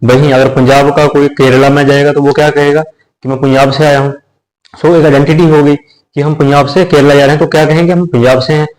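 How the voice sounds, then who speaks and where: A man's voice: a little high, level tone, a little fast, darkish tone, low-quality recording. Male teacher, casual explainer, repeats points and checks in with the class. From India. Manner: conversational, repeats phrases, checks in with listeners. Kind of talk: explanatory lecture.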